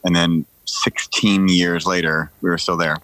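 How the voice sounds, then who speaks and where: A man's voice: low-pitched, flat delivery, measured pace, sharp tone, rough recording. A middle-aged man, telling casual stories as a podcast guest in a joking, conversational way. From United States.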